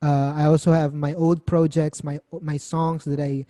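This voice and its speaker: Soft-spoken young Italian-raised musician. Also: male voice, a little high, little pitch movement, normal speed, dry recording, clean studio sound. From Italy. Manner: soft-spoken, hesitant, many fillers and restarts. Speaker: musician guest. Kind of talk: casual interview answers.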